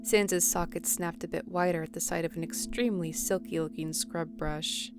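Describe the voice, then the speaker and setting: Female narrator for audio drama, lowish register, scripted storytelling, music underneath. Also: steady tone, normal speed.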